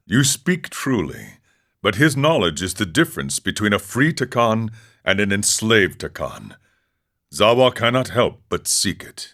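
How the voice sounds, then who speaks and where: Deep-voiced, solemn warrior monk. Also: male voice, fairly bright tone, exaggerated voice. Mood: earnest. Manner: measured, philosophical, speaks of himself in third person. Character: grave aging warrior monk, proud yet regretful seeker. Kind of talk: scripted video game character dialogue.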